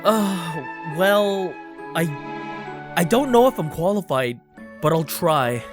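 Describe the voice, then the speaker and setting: A woman's voice: fairly low pitch, expressive, takes it slowly. A woman voicing a timid, earnest boy hero in a fantasy game fan dub, stammering and unsure. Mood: flustered. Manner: stammering, hesitant. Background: music.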